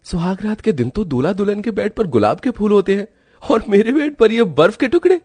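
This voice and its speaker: Man acting exasperated young groom, raised pitch. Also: lively intonation, fairly quick, darkish tone, breathy edge, no echo. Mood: dismayed. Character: exasperated young bridegroom in a comic family tale. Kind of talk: cartoon story character dialogue.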